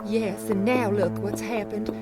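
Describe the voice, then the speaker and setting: A woman's voice: mid-range voice, steady tone, a little slow, over music, close to an everyday voice. An actress playing a guilt-ridden, wealthy married woman in an emotional audio drama. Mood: guilty, distraught.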